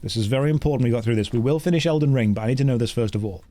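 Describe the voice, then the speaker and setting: A man's voice: mid-range voice, racing, dark tone. A man with a British accent hosting a casual livestream, reading chat polls aloud in a playful, wry, jokey way. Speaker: streamer.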